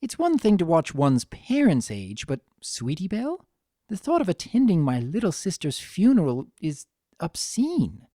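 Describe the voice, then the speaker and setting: A reader voicing a vain, refined fashionista pony mare with a genteel, dramatic and expressive delivery in a story. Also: medium pitch, a little slow, no echo, clean studio sound, pitched above the performer's own voice. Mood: troubled, morbid.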